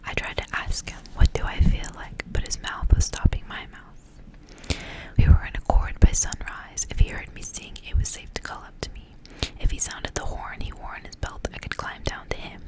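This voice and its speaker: A woman whispering a literary fairy tale as an ASMR reading, in hushed narration. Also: normal speed, rough recording. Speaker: ASMR reader.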